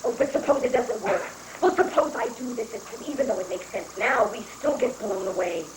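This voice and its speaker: A folksy, anxious president acted by a woman blusters and wavers in a high, theatrical voice. The satirical stage reading is captured in an echoing room with clear background noise. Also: moderate pace, close to an everyday voice. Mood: doubtful. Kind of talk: dramatic reading of a satirical play. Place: roomy indoor live reading. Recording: roomy, noisy 1980s live recording.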